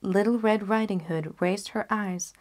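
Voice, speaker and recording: A young adult woman reading a fairy tale aloud in an expressive storytelling style. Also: mid-range voice, a little slow.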